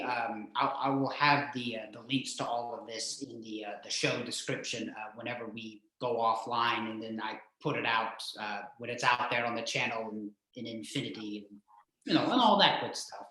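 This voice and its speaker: The sound is strongly reverberant and roomy. A man with a mid-pitched voice hosts a casual interview, speaking haltingly and circling back over his phrases as he goes. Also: sharp tone. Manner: hesitant, halting, with repeated phrases. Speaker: show host, interviewer. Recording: very echoey, reverberant room sound.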